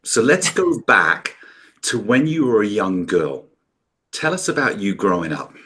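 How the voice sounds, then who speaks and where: A man hosting an interview podcast, introducing his guest and asking questions with energetic enthusiasm. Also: on the low side, a little slow. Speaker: podcast host.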